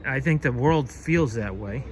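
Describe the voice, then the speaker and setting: Man, from the us, street interviewer, probing casual questions, mid pitch, traffic noise. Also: lively intonation, unhurried. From United States.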